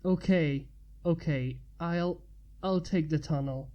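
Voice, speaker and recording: A woman's voice: deep voice, takes it slowly, dull audio. A woman voicing a hunted, distressed male prince in a fantasy story, hesitant and stammering. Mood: pained.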